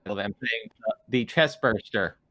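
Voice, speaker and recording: A man's voice: lowish voice, unhurried, sharp tone, no echo. A man playing a tabletop roleplaying game and chatting casually with the group.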